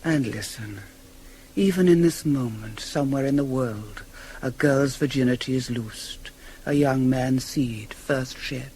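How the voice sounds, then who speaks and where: An actor playing a cold, stern deity in a classical radio tragedy, speaking in a solemn, measured, incantatory way. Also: male voice, medium pitch, darkish tone, lightly acted, low-quality recording. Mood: vengeful, incantatory. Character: cold, stern deity, aloof from human grief. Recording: hissy 1980s cassette of radio play.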